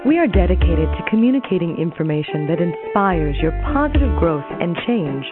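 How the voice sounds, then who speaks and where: A woman's voice: on the low side, very animated, normal speed. A woman reading a soothing, earnest scripted intro for a wellness talk show. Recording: thin phone-like audio with clear guitar music. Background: guitar music.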